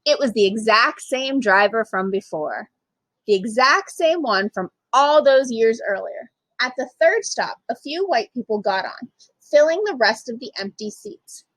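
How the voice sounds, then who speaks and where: A woman reading a children's picture book aloud in an expressive, emphatic way with dramatic pauses. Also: fairly high pitch, dry recording, rough recording. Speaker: storytime reader, literacy program manager.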